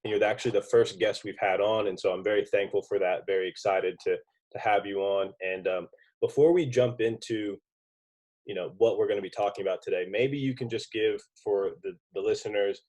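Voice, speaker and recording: A young man hosting a podcast, speaking in a relaxed, polite way with fillers. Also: fairly low pitch. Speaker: podcast host. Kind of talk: conversational interview.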